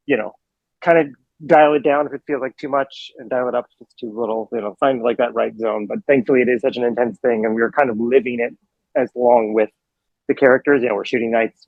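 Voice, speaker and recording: Rambling man with a gravelly, muffled voice. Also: mid-range voice, dry recording. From Hawaii. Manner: rambling, filler-heavy, self-correcting. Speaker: film director. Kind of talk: casual interview answers.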